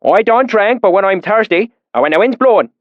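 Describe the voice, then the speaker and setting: A high-pitched rustic swineherd with a thick dialect. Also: male voice, lively intonation, a little fast, dry recording, dull audio. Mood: friendly. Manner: high, loud, drawling voice. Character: lean weathered rural male swineherd, suspicious then friendly.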